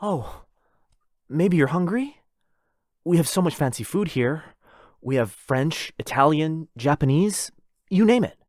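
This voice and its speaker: A man's voice: on the high side, animated, a little slow, pauses often, a little mellow, no echo, clean studio sound. A man playing a lonely, possessive, rich vampire brother in a boyfriend ASMR roleplay, insistent and coaxing. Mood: anxious, pleading.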